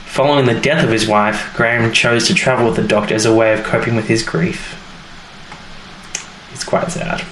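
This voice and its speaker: A man co-hosting a podcast, recapping a story in casual, halting conversation with small asides. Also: medium pitch, fairly even intonation, a bit bright. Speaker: podcast co-host recapping a TV episode. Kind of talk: casual chat, episode recap. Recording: roomy echo, faint background noise.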